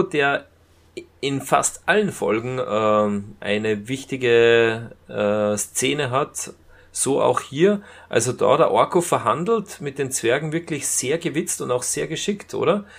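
A man casually reviewing as a podcast co-host, retelling scenes in a halting way. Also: medium pitch, vocal fry. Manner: halting retelling with acted-out quotes.

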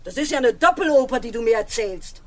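An actor playing a grumpy elderly neighbor who scolds a boy in a vintage radio play, with a shrill, scolding delivery. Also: animated, fairly quick, darkish tone, close to an everyday voice. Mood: indignant.

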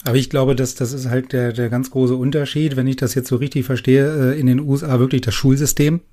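A man with a soft-edged, mellow voice at an average male pitch. He interviews in a casual conversational style, hedging his points and seeking agreement as he goes. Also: flat delivery, brisk.

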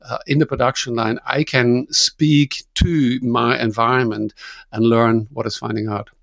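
A man's voice: medium pitch, flat delivery, unhurried, creaky, a bit bright, no echo. A man co-hosting a podcast, talking casually and loosely with many fillers. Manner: rambling, many "you know" fillers. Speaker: podcast co-host. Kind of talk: casual chat.

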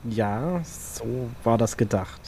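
A man's voice: mid-range voice, animated, takes it slowly, vocal fry, darkish tone, slightly rough, heavily acted. A man playing a reserved, resolute vampire enforcer in an audio drama, laconic and understated. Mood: laconic, resolved. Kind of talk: improvised role-play audio drama.

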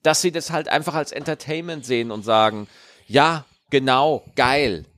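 A man co-hosting a podcast in casual chat, jokey and animated, swinging his pitch for emphasis. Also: moderate pace, dry recording, studio quality. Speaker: podcast co-host.

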